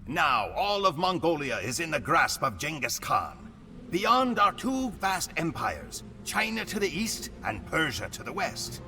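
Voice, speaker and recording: A proud steppe warrior telling his Khan's story for a strategy game cutscene. His somewhat high male voice rises and falls dramatically, savouring every grim phrase with solemn theatricality. Music plays underneath. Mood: menacing. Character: proud, grim Mongol horse warrior chronicling his Khan. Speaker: campaign narrator.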